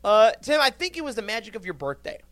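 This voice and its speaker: A man hosts a podcast as casual conversation, joking and effusive. His pitch moves up and down in a very lively way. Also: quick pace. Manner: jokey, effusive. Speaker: podcast host. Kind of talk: casual upbeat chat.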